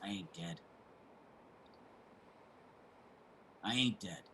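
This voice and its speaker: An actor playing a weary homeless man in a dramatic reading, halting and heavy with feeling, with a husky, gravelly voice. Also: lowish voice, noisy background, lightly acted. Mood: insistent, defiant. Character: weary homeless man insisting on his own name.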